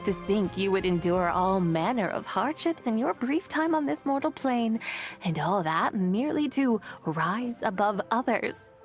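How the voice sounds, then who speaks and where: Lofty, animated ancient immortal. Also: female voice, medium pitch, measured pace, faint music behind. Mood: amused, condescending. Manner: archaic, grand, theatrical phrasing. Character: lofty ancient female immortal, amused by mortals. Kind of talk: video game character acting.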